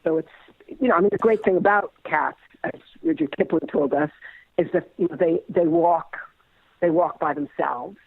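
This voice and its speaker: A man answers interview questions reflectively and haltingly, with a bookish turn. His voice is a little high and noticeably creaky. The sound is very dry and somewhat dull. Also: no room sound. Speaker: interview guest.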